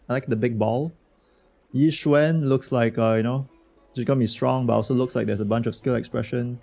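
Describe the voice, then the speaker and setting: A man streaming a game with a hoarse voice from a cold, chatting loosely and slangily with his viewers. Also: medium pitch, dark tone. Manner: slangy, rambling, talks to chat. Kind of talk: casual livestream chat reacting to a game.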